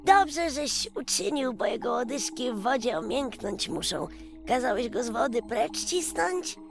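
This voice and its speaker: Woman acting elderly storybook man, patient and wise, very slow, widely swooping pitch, creaky, emphatic. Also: lively intonation. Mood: reproachful, solemn. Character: old male prisoner, patient, humble, wise and forgiving. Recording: music behind the voice.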